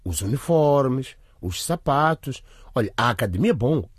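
Suspicious father, radio drama, rough husky voice, hesitant grumble, dry studio sound. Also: mid-range voice, lively intonation, a little slow, no room sound. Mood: sceptical. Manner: hesitant, grumbling, hums and haws. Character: grudging, suspicious, opportunistic father of a schoolgirl.

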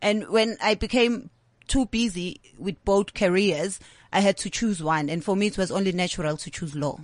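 A woman's voice: mid-range voice, moderate pace, no room sound. A South African woman in her thirties, a comedian talking candidly in an interview and slipping into Zulu phrases. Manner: candid, animated storytelling mixing English with Zulu. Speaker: comedian guest. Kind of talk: interview conversation.

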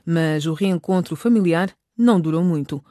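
A woman's voice: fairly low pitch, normal speed, warm tone, no room sound, clean studio sound. A woman narrating a radio drama in a scripted storytelling style.

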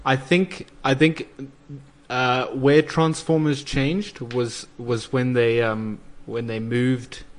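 A man's voice: mid-range voice, fairly even intonation, slow. Hesitant South African man chatting. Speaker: talk show co-host. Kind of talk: casual chat.

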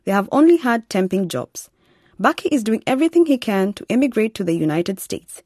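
Female narrator for a radio series: scripted presentation, medium pitch, dry studio sound. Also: darkish tone, no echo.

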